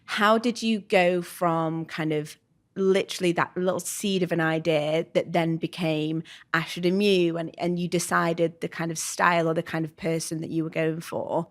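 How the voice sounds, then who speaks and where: A woman's voice: on the low side, steady tone, moderate pace. Chatty woman interviewer. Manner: attentive, chatty, many affirming murmurs. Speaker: podcast producer, interviewer. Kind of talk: podcast interview. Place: small studio room with plant. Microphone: studio mic on boom arm.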